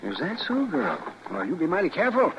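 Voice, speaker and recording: A sly old-West American town doctor, folksy and gleeful. His highish voice swoops dramatically in radio western style, over a faintly hissy broadcast. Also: wildly swooping pitch, brisk, dull audio.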